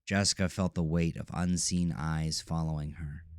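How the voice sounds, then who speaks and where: A man reading scripted horror audio drama narration in a measured, ominous storytelling manner. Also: extremely low pitch, fairly even intonation, a little mellow, clean tone, no echo, studio quality.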